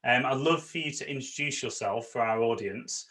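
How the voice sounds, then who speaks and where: An upbeat man hosts an interview podcast, warmly enthusiastic as he welcomes and questions his guest. His tone is bright and forward, at a middle pitch. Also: moderate pace. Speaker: podcast host.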